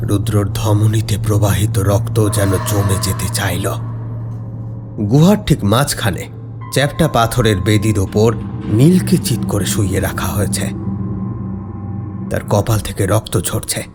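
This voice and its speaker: A man narrating a scripted horror story in a dramatic, suspenseful, deliberate way. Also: fairly low pitch.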